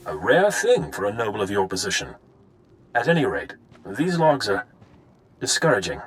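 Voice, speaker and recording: A loyal captain of a noble house in a video game. His pitch moves a lot as he reports, respectful yet plain-spoken and soldierly. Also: huge pitch swings, normal speed, low-quality recording. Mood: brisk, respectful. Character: dutiful, polite military captain serving a noble house. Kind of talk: scripted video game drama acting.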